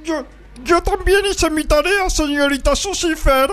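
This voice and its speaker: Woman doing a tiny squeaky schoolboy, shy but eager, slow and stumbling over words, radio comedy. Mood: timid, stumbling. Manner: childish, halting, mispronouncing words. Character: timid little boy pupil, eager but stumbling. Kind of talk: comic radio theatre.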